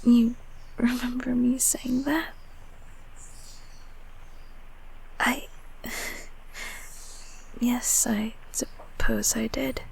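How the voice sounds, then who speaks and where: A woman voicing a gentle, shy childhood closet creature in an intimate comfort roleplay, speaking softly and hesitantly in a breathy half-whisper. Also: on the high side, flat delivery, a little hiss or hum, full character voice, poor audio. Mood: soothing, concerned. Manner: hesitant, halting, tender.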